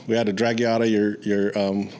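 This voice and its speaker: A man's voice: fairly low pitch, flat delivery, a little fast, a little mellow, a little hiss or hum. A man hosting a podcast in a casual, informal, friendly way. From born in Mexico, moved to the US at age four. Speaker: podcast host. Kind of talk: casual podcast interview chat.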